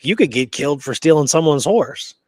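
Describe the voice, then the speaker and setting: A man's voice: on the high side, animated, fairly quick, a little mellow, no room sound, clean studio sound. A man co-hosting a history podcast in a casual, conversational way, often checking that his listener follows. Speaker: podcast co-host.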